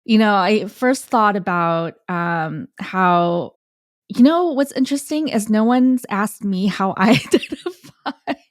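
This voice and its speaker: A woman's voice: medium pitch, slow, no room sound, studio quality. A woman hosting a podcast interview, enthusiastic and affirming. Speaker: podcast host.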